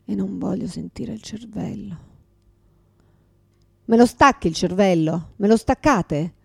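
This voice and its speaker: A husky, confused, bitter mother. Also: mid-range voice, a little slow, darkish tone, no room sound, studio quality, exaggerated voice. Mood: agitated pleading. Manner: fragmented, repetitive, swearing, swinging from whispers to outbursts. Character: confused, forgetful mother, exhausted, bitter, pleading, quick to lash out. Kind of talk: radio theatre dramatic monologue.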